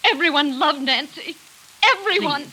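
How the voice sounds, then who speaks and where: A woman's voice: high-pitched, animated, normal speed, bright, forceful tone, rough recording. An actress playing a proper, evasive middle-aged mother in a 1940s radio drama. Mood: insistent, evasive. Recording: hissy old radio recording.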